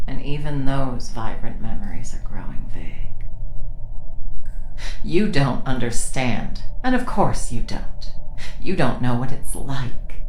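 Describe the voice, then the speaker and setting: Woman voicing a weary immortal enemy; very low, slow, whispered delivery. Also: echoey room, a little hiss or hum, full character voice, rough recording. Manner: soft, slow, often whispered. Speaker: voice actor. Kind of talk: ASMR roleplay.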